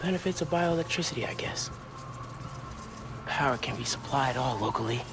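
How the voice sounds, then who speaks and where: Man playing a lively teenage superhero over loud music. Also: medium pitch, normal speed, natural voice. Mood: wry, thoughtful. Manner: wry, self-talking, casual. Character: earnest teenage male superhero, quick to quip. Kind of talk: video game cutscene acting.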